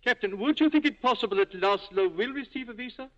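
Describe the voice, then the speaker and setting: An actor playing a stern, arrogant Nazi major, formal and imperious, in a 1940s radio drama. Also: male voice, extremely high pitch, expressive, fast. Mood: coldly threatening. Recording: dull 1940s radio recording.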